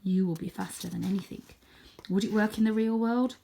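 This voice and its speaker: Expressive woman storyteller with slightly creaky voice. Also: mid-range voice, brisk, slight background noise, low-quality recording. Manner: dramatic, drops to hushed near-whispers for suspense. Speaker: storyteller reading a children's book, a teacher. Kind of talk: expressive children's story read-aloud.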